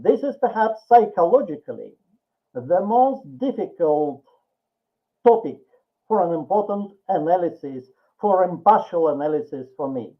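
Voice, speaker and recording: An older man with a Russian accent giving a formal, deliberate presentation as an academic panelist over an online call. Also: high voice, lively intonation. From Soviet Russia. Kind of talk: prepared seminar presentation. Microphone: video call. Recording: tinny phone-like online call.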